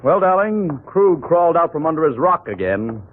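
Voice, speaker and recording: Curt radio-drama husband, crackly sound. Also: male voice, fairly high pitch, very animated, moderate pace. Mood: wary, irritated. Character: wry, grumpy husband, amateur sleuth. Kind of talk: 1940s radio drama acting. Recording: noisy, narrowband 1940s radio recording.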